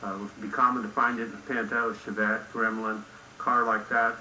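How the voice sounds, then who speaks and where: An American man giving matter-of-fact, measured explanations as an interviewed company spokesman. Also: fairly low pitch, level tone, pushed and bright. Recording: roomy interview with clear background noise.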